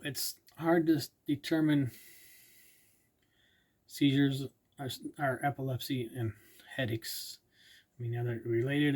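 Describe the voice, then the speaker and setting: A man's voice: medium pitch, darkish tone. A man casually vlogging, partly reading aloud, rambling and unsure with many fillers and self-corrections.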